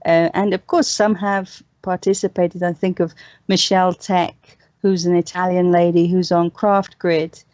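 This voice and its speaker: A woman's voice: on the low side, level tone, a little slow, a little mellow, no echo. A woman speaking as an enthusiastic guest in a casual online panel discussion. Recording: dull, lo-fi online voice call.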